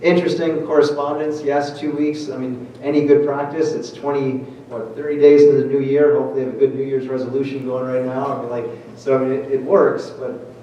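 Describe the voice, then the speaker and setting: A man's voice: medium pitch, a little fast, few pauses, muffled recording. A man, a priest, talking casually to his parish and answering questions, full of "like" and "I mean". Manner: animated, filler-heavy, lots of "like". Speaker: priest giving a parish talk. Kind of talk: casual talk and Q&A. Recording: echoey church hall, distant mic, noisy.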